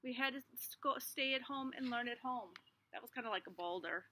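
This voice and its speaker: Middle-aged woman teacher, storytime read-aloud, high and bright, unhurried, recorded outdoors on a handheld device. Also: slight background noise. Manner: expressive storytime reading with small asides. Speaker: kindergarten teacher. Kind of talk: reading a picture book aloud to children. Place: outdoors in a backyard under trees. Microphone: device camera at arm's length.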